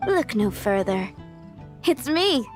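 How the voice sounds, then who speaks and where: A playful young tavern boss speaks in a fairly high voice with music behind her. She is unhurried, teasing and worldly, like a game character sharing gossip. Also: very animated. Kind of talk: video game voice acting.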